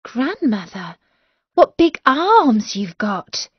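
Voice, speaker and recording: A woman's voice: fairly high pitch, big pitch swings, takes it slowly, breathy edge, dry recording, highs cut off, voice pushed up, heavily acted. A woman voicing a trusting, cheerful little girl in a storybook reading. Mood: amazed.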